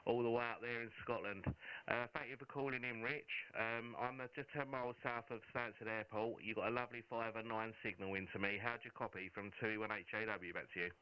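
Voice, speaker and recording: A man hosting amateur radio contacts in a relaxed, friendly way. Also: mid-range voice, fairly quick, clear, resonant tone, dry recording. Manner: relaxed, friendly, says "mate" often. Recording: muffled audio with faint noise.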